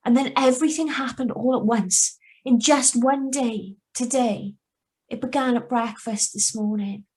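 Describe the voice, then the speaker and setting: High-voiced anxious young woman played by an actress. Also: steady tone, measured pace, darkish tone, close to an everyday voice. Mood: confessional, tense. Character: anxious young woman living under a false identity. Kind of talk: 1940s-style radio suspense narration and dialogue. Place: remote live reading over video call.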